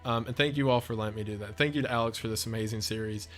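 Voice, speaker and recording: A man's voice: medium pitch, steady tone, racing, fairly bright tone. A man giving casual off-the-cuff video commentary, chatty, effusive and enthusiastic as a video creator. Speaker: video essay creator and narrator.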